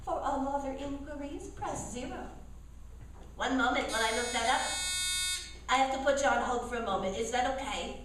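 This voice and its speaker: A woman's voice: sits high, fairly quick, pauses often, natural voice. A woman playing an officious phone menu operator in a comic radio commercial sketch. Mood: sing-song, officious. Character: automated insurance phone menu operator, droning female clerk. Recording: echoey hall, distant mic, faint noise.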